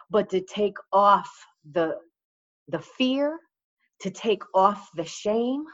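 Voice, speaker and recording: Earnest woman teacher, dull audio. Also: mid-range voice. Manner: earnest, emphatic, reflective. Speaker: Spanish teacher. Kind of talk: conversational interview.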